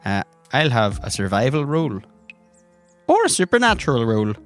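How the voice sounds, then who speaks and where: A man's voice: medium pitch, huge pitch swings, moderate pace, soft background music. A man working as game master, narrating scenes descriptively for a tabletop role-playing game.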